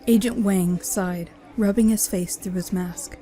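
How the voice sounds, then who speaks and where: A woman's voice: mid-range voice, steady tone, a little slow, warm tone, a little hiss or hum. A woman giving scripted narration of a horror story as its narrator. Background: music.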